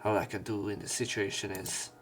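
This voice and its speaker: Male let's player, low voice, visual novel narration over background music. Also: fairly even intonation, fairly quick, a bit bright.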